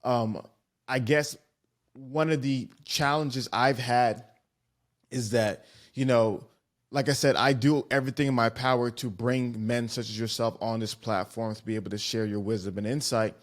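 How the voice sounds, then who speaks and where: A man hosting a podcast, responding appreciatively in halting speech full of fillers. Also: medium pitch, steady tone. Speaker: podcast host. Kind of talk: podcast interview.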